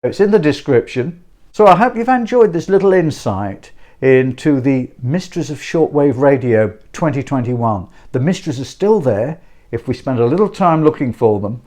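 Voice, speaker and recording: Male video host, medium pitch, informal and chatty explainer delivery with repeated short phrases and rhetorical questions. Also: lively intonation, normal speed, darkish tone. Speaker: YouTube host and presenter. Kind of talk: informal explainer to camera about a radio hobby.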